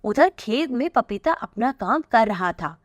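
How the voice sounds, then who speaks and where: A woman's voice: a little high, animated, a little slow. A woman narrating a scripted children's story as its storyteller. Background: music.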